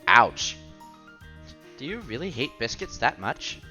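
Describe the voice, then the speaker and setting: A man's voice: mid-range voice, very animated, slow pace. A man streaming a visual novel, reading the text aloud with casual commentary and stumbling over words now and then. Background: game music.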